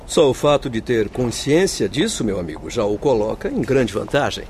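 A man's voice: mid-range voice, very animated, moderate pace, darkish tone, a little hiss or hum. An actor in a radio drama playing a kindly, humble male doctor, courteous, formal and reassuring.

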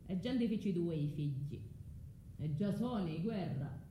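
An actress with a southern Italian accent playing a proud, vengeful, scorned foreign wife in a declamatory radio drama monologue. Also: female voice, lowish voice, expressive, normal speed, warm tone, echoey room, a little hiss or hum, close to an everyday voice, rough recording. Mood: resentful.

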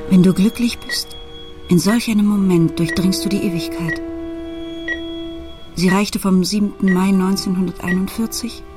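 An actress playing a desperate mother in a radio play, telling a family story at her daughter's sickbed in measured, literary phrases. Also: medium pitch, natural voice. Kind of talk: radio play narration with inner monologue. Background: music.

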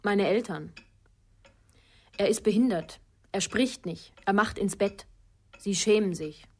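A woman's voice: mid-range voice, measured pace, darkish tone. An actress playing a guarded, fearful young woman in a radio crime drama, speaking in short, reticent statements. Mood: guarded, flat. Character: guarded, fearful young woman protecting her half-brother.

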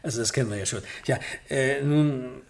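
An actor playing an impatient, sceptical police inspector who questions probingly in a radio drama. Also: male voice, medium pitch, moderate pace, gravelly, natural voice. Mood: wry.

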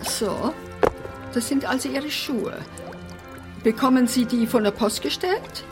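A woman's voice: sits high, unhurried, music in the background. An actress playing a prim, bossy and whimsical eccentric woman in a German radio play. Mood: prim, insistent. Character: fussy, eccentric fish-loving woman living in an apartment house.

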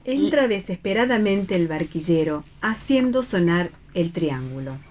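An actress playing an emphatic narrator who reads the stage directions of a radio play. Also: female voice, lowish voice, lively intonation, a little slow, a little mellow. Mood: measured. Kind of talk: theatrical radio play reading.

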